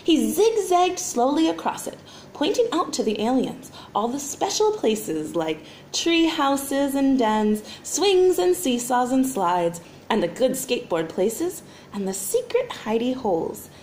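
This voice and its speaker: A woman reads a children's book aloud with theatrical flair. Her voice sits fairly high and swings widely in pitch. The room adds clear echo, with faint noise behind her. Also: unhurried. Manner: animated, dramatic, acts out sound effects. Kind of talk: expressive storybook narration for children.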